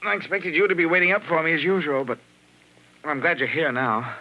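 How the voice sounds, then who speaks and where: An actor playing an evasive, tense husband torn between two women, brusque and dramatic in 1940s radio style. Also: male voice, a little high, a little fast, highs cut off, lightly acted. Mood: restless. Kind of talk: 1940s radio melodrama acting.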